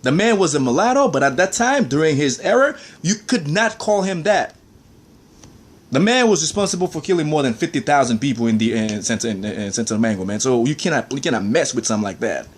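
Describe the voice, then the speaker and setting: A man's voice: mid-range voice, expressive, fairly quick. A man ranting in a heated, preachy monologue, peppered with "man" and "I mean".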